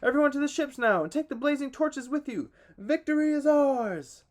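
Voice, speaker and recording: A man's voice: very high voice, expressive, normal speed, voice pushed up. A man voicing a fierce male Trojan war hero who bellows his battle cries. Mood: rallying, bellowing.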